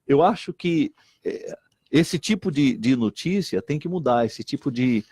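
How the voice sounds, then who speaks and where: Middle-aged man, earnest, lively up-and-down delivery, slightly husky, dry studio sound. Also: mid-range voice, measured pace, no room sound. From Recife, Brazil. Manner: earnest, repeats himself, quotes others in lively voices. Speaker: state deputy and missionary pastor. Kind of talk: animated talk-show conversation.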